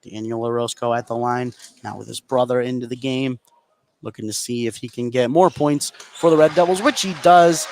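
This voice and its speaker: A man's voice: mid-range voice, darkish tone, a little hiss or hum. A man calling live radio basketball play-by-play in a running narration that follows the action. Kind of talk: live radio sports commentary.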